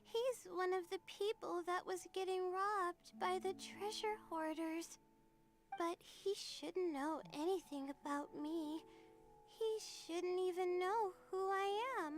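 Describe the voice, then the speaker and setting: An anxious, timid young student with a very high, girlish voice for an anime-style game. She talks slowly and haltingly, always sounding apologetic and unsure, over faint music. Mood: puzzled, apologetic.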